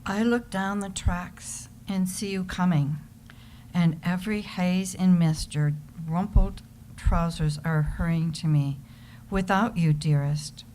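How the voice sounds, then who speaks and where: Mellow, slow, low-voiced woman reading. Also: slight background noise, rough recording. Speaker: reader in an amateur readers theater. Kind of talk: dramatic reading of a love letter.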